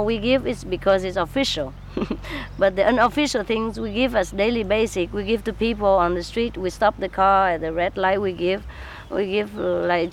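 A woman answers interview questions as a spiritual teacher. Her English is halting, full of fillers, repeated words and soft laughs, and her pitch sits mid-range. A faint hum of traffic runs behind her. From Vietnam.

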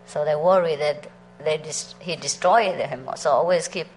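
Middle-aged woman teacher, lower register, laughs and quizzes her audience as she tells stories. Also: moderate pace, darkish tone, a little hiss or hum, rough recording. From Vietnam. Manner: chatty, laughs often, asks the audience questions. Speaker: spiritual teacher. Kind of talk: storytelling talk to a meditation group.

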